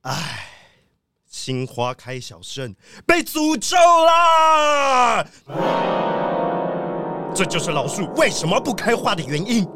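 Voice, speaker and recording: An actor playing a theatrical self-styled master who talks to plants, chanting and proclaiming in a children's audio drama, with drawn-out exclamations. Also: medium pitch, sing-song, exaggerated voice. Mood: dramatic alarm. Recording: music bed under the voice. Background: loud music.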